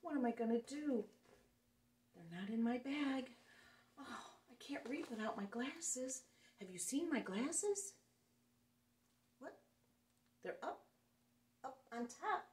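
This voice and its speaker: A woman's voice: high-pitched, expressive, slow pace, long pauses, darkish tone. A woman reading a picture book aloud to children in a playful, sing-song way, recorded indoors. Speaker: children's story reader. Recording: echoey room, mic some distance away.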